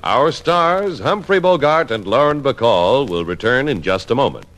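A man's voice: medium pitch, big pitch swings, moderate pace. An American man announcing in scripted 1950s radio style. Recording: 1950s radio, loud orchestral music.

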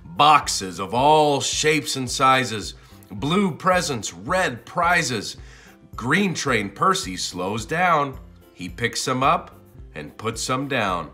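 A man reading a children's storybook aloud in an expressive, sing-song and deliberate way. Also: mid-range voice, slightly rough, faint music behind. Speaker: storybook narrator.

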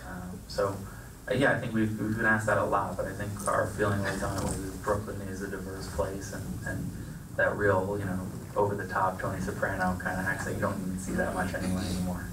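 A man with a low, level voice talks through a handheld mic on a theater stage, measured and careful with frequent restarts. The sound carries echo and comes from some distance off, with steady noise underneath. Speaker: film producer. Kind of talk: panel Q&A answers.

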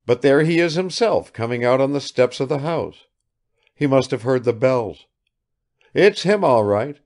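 Man voicing a gentle young man, fond, wistful, slow, lively pitch. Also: natural voice.

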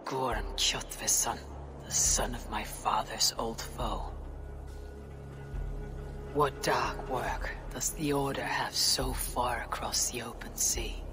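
A woman playing a bold, gruff Viking warrior in a video game, with a low husky voice. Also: steady tone, slow, in a whisper, over music. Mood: brooding, hushed. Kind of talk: video game cutscene acting. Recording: clear background noise.

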